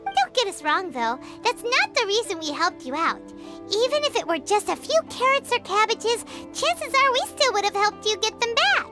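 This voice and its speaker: A woman voicing a tiny, cheeky female fairy companion in a video game, with a high, squeaky, bouncy delivery. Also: moderate pace, music in the background. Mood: cheerful. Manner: bouncy, eager, lively.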